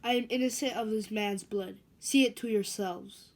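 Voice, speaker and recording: A Roman governor read by a woman questions a prisoner in a scripture drama. The voice sits at a medium pitch, in the plain delivery of an amateur church reader. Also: fairly even intonation, normal speed, darkish tone, natural voice. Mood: questioning.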